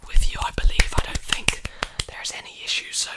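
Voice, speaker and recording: A man's voice: a little fast, bright, forceful tone. A young man whispering breathily and soothingly into a close mic, repeating phrases in an ASMR roleplay as a repair technician. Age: young adult. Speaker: ASMR performer playing a robot repair technician. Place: small indoor room with clothes hanging behind. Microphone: large desktop condenser mic, very close.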